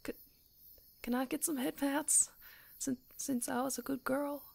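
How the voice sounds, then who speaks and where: A woman playing a female werewolf in an intimate ASMR roleplay, predatory yet tender, coaxing in a breathy voice that often drops to whispers. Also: high-pitched, steady tone, measured pace, darkish tone, pitched above the performer's own voice, full character voice. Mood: shy, eager.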